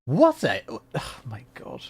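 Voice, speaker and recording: A man's voice: mid-range voice, wildly swooping pitch, slow pace, slightly rough. A man talking casually and self-deprecatingly as he hosts a gaming livestream. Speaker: livestreamer, VTuber. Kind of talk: casual livestream chat while playing a game.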